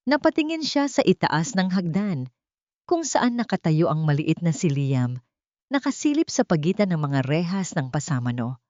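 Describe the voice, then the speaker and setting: Low-voiced woman narrating melodrama. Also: sing-song, moderate pace, darkish tone. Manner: solemn, melodramatic. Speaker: audiobook narrator. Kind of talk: dramatic scripted story narration. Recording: dull lo-fi audio with room echo.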